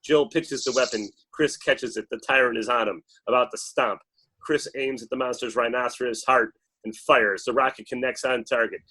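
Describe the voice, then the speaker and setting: A man's voice: medium pitch, fairly even intonation, normal speed, bright, forceful tone, low-quality recording. A man reading stage directions as dramatic scripted narration at a table read.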